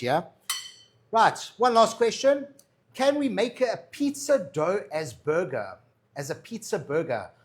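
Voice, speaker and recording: A man with a South African accent, a chef chatting casually through a cooking demo and answering viewer questions. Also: high-pitched. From Madeira, raised in South Africa. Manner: chatty, reassuring, tags lines with "all right?" and "yeah?".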